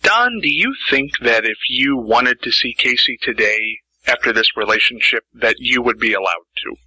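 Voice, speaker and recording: A man's voice: medium pitch, expressive, moderate pace, bright, forceful tone, husky, no echo, rough recording. A man hosting an interview and asking brief, plain questions. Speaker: interviewer and host.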